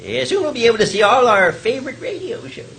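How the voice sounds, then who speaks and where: An actor playing a naive, excitable, simple-minded man in old-time radio comedy style. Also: very high voice, fast talker, vocal fry, slightly rough, heavily acted. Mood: cheerful.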